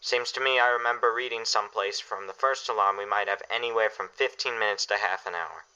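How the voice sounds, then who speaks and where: A man's voice: on the low side, steady tone, fairly quick, bright, forceful tone, clean tone. An actor playing a commanding father in a radio drama, firm and reassuring as he directs his family in a crisis. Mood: controlled, urgent. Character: steady, commanding family father preparing for disaster. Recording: dull, band-limited recording.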